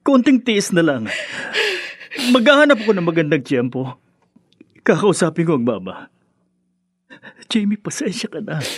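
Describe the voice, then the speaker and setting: A sorry torn husband in a radio soap, voice swinging around, faltering, dry sound. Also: male voice, a little high, sing-song, normal speed, pauses often, dry recording. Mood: apologetic, pleading. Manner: pleading, faltering. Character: apologetic husband torn between wife and mother. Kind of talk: radio drama acting.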